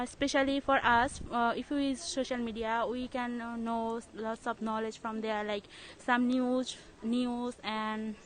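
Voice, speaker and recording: A woman's voice: fairly high pitch, slight background noise, poor audio. A young woman student from Bangladesh answering an interview question, hesitant and full of fillers.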